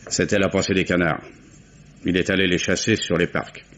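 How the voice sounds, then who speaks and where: An actor playing a gruff, guarded old oyster fisherman in a 1950s radio drama, talking in a folksy, grumbling way. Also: sits low, level tone, normal speed, fairly bright tone. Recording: muffled old radio recording with faint hiss.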